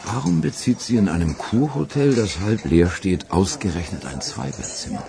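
A man playing a suspicious, menacing boss in a radio crime drama, gravelly and deliberate. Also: sits low, lively intonation. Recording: crowd chatter behind. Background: crowd.

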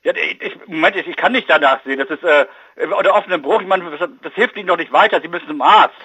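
Husky, exasperated man talking fast. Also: fairly high pitch, bright, forceful tone, no room sound, highs cut off. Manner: exasperated, halting, blunt. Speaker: bookshop keeper. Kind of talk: phone conversation.

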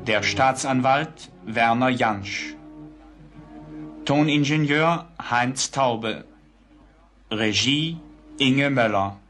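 A man announcing a radio play's title and cast in a formal, measured, solemn manner. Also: mid-range voice, fairly bright tone, faint music behind.

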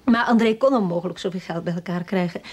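A haunted, wealthy widow in a radio play. Her voice is husky and breathy, anxious and insistent, weighed down by guilt. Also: lowish voice, fast, darkish tone. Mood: troubled confession. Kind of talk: radio drama acting.

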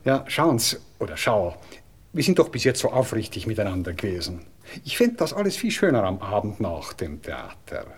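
An actor playing an affected, melancholic aristocratic cavalry officer in a radio play, with a Viennese accent and a polite, hesitant, rambling way of talking. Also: male voice, on the low side, sing-song, normal speed, breathy edge. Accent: Viennese Austrian.

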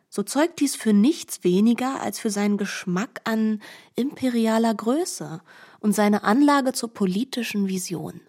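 Composed woman narrator with medium-pitched voice. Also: darkish tone. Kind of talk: literary scripted narration in a radio play.

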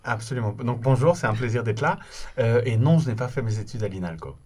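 A man's voice: mid-range voice, animated, brisk, a little mellow, husky. A man from Belgium, answering as an interview guest in an articulate, analytical, hesitant way. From Liège, Wallonia, Belgium. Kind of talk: spontaneous interview talk. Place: radio recording studio.

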